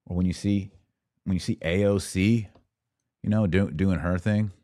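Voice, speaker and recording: A man with a very low, warm voice talks through comments on a livestream in a casual, conversational way. He drops in wry asides. The sound is dry and close. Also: fairly even intonation, normal speed, no echo. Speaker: podcast host.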